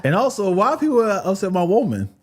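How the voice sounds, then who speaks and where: A man's voice: very animated, fast talker, noisy background. A man co-hosting a podcast, chatting casually and playfully arguing over how words are said. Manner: playful, argumentative, big swings in pitch. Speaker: podcast co-host. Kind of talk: casual chat.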